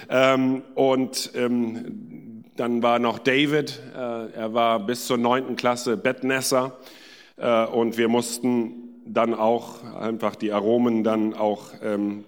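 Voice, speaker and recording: A man from India preaching as a guest, telling personal anecdotes in an informal, hesitant way with many pauses. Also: medium pitch. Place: large church hall. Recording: echoey hall with long reverb.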